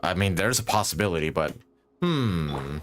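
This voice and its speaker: A man's voice: low voice, animated, normal speed. A man streaming a game, thinking aloud in casual commentary and reading on-screen text. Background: game music.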